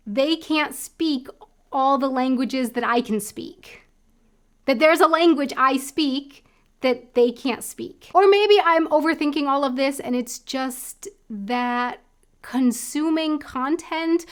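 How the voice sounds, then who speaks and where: An American woman in her thirties talking to camera in a casual, reflective vlog, thinking aloud with lots of fillers. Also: high-pitched. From United States. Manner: chatty, thinks aloud with many likes and I don't knows. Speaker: vlogger. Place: indoor room at home.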